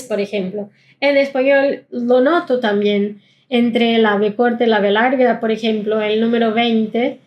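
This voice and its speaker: Woman teaching German pronunciation. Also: on the high side. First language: not Spanish. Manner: explanatory, slows down to model sounds. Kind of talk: pronunciation lesson.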